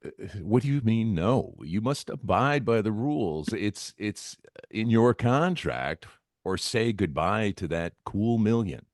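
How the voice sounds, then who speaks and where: Seeking a man to play a brash wisecracking game show contestant, very deep and animated, argumentative, at an informal table read. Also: measured pace, no echo, clean studio sound. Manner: blunt, teasing, argumentative. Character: cocky, impatient male reality show contestant, competitive wisecracker.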